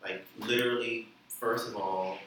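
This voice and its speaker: A young man from the Bahamas, chatting as a guest in an interview, full of fillers and quick laughs. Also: fairly low pitch, fairly even intonation, a bit bright. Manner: chatty, laughing, many "like" and "you know" fillers. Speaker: musician guest. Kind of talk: casual interview chat. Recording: echoey room, distant mic, light noise.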